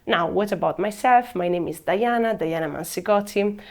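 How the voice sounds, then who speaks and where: A woman in her thirties from Italy with an Italian accent, giving a measured presentation that slows down to stress key words. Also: medium pitch. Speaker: program presenter. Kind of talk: scripted presentation.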